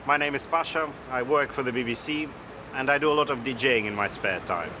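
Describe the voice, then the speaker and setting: A man's voice: medium pitch, a little fast, over music. A man from Moscow presenting and putting interview questions to people in a scripted style. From Moscow, Russia.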